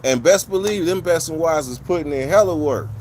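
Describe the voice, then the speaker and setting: A man's voice: fairly high pitch, a little fast, darkish tone, a little hiss or hum. A man from California, hosting a livestream and chatting casually with viewers in blunt, profane street slang, rambling from one story to the next. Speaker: livestream host.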